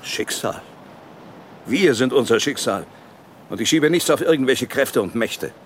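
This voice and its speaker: An actor playing a ruthless, defiant older company boss in a German radio drama, arguing forcefully and with contempt. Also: male voice, mid-range voice, expressive, unhurried, slight background noise, natural voice. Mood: agitated, scornful. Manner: forceful, rhetorical, self-justifying.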